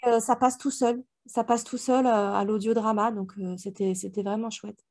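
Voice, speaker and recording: A woman's voice: fairly high pitch, fairly even intonation, normal speed, darkish tone. A woman chatting casually in colloquial, slangy language, like a guest on a review podcast. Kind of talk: casual chat.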